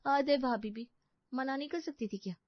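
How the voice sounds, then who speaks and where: A woman's voice: high voice, moderate pace, dry recording, highs cut off, pitched above the performer's own voice, close to an everyday voice. A woman voicing a jealous, scheming young sister-in-law in a dramatized moral story, sugary on the surface. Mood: resentful grumbling.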